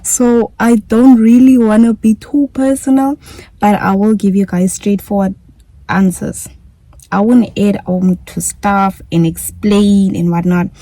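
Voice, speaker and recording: A woman's voice: mid-range voice, fairly even intonation, unhurried, mellow, no room sound, low-quality recording. Chatty young Namibian woman vlogging. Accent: Namibian English. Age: young adult. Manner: chatty, repeats herself, giggly. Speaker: YouTuber. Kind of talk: casual vlog Q&A.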